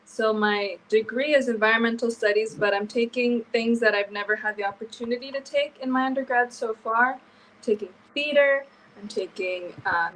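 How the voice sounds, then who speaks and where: A woman's voice: fairly high pitch, a bit bright, a little hiss or hum, low-quality recording. A young woman with a Canadian accent, an exchange student chatting casually on a live video call. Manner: chatty, laughs easily, hesitant fillers.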